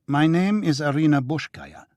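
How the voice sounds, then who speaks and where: A man's voice: fairly high pitch, moderate pace, a little mellow, no echo, voice pushed up, natural voice. A man putting on a stern curt woman agent. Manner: curt, impatient, businesslike. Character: stern brisk woman Soviet contact.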